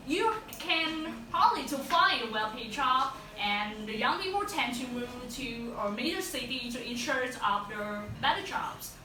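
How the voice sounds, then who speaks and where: Woman, Vietnamese accent, halting class presentation, high sharp voice, distant in an echoey room. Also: a little hiss or hum. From southern Vietnam. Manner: halting, with many fillers and restarts. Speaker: student presenter. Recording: echoey room, mic far off.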